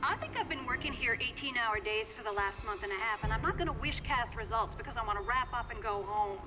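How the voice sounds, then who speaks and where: An actress playing a stubborn, overworked research scientist who speaks firmly and dismissively. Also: female voice, a little high, fast, music in the background, lightly acted. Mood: irritated, firm. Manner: firm, dismissive. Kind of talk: acted video game dialogue.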